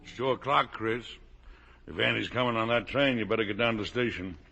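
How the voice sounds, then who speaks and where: A man's voice: lowish voice, a little fast, gravelly, bright, forceful tone, husky, faint music behind, exaggerated voice. An actor playing a worried working-class American father in a folksy, emphatic 1950s radio drama style. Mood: matter-of-fact, resigned. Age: middle-aged. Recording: old 1950s radio broadcast.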